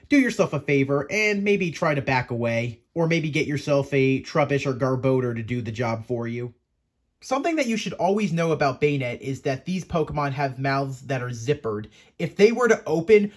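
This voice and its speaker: A man hosting an explainer show, narrating in a casual scripted style and talking conversationally to the viewer, posing questions and answering them. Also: fairly high pitch. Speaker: host of a Pokemon explainer show.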